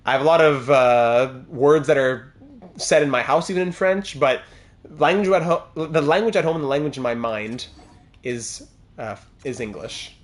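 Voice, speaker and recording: A man's voice: mid-range voice, moderate pace. A young man streaming a hockey video game, chatting with viewers and calling the plays as they happen. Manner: chatty, reads chat aloud, excited calls of game plays. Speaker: gaming streamer playing a hockey franchise mode. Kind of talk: live-stream commentary over gameplay.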